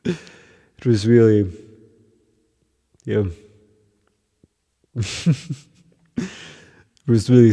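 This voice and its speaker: A man with a German accent chatting casually with his livestream viewers, chuckling often and pausing with lots of fillers. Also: medium pitch, darkish tone, big-room sound. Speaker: musician hosting a livestream. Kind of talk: casual chat with stream viewers.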